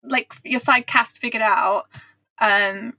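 A woman's voice: fairly high pitch, steady tone, slow pace, vocal fry, sharp tone, breathy edge, no room sound. A woman guest author explaining her writing habits in a friendly, casual way, laughing mid-sentence. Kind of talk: casual interview chat.

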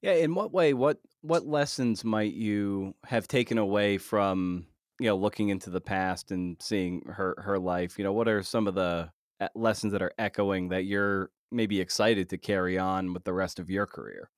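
A man hosting an interview podcast, talking casually and courteously, often pausing to rephrase his thoughts. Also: deep voice, steady tone, dry recording, clean studio sound.

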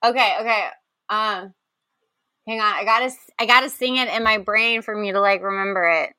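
A woman's voice: medium pitch, fairly even intonation, brisk, pushed and bright, clear, resonant tone, no room sound. A woman co-hosting a podcast in casual chat, talking in a gushing, enthusiastic way. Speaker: podcast co-host.

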